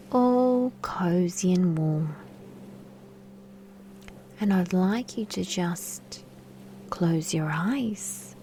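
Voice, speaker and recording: A woman leads a children's sleep meditation in a mellow, half-whispered voice. She speaks slowly and gently, with lulling pauses between phrases. Faint music plays softly behind her. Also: medium pitch. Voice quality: soft, breathy, half-whispered. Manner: soothing, gentle, lulling delivery with long pauses. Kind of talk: guided sleep meditation and bedtime story. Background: soft background music.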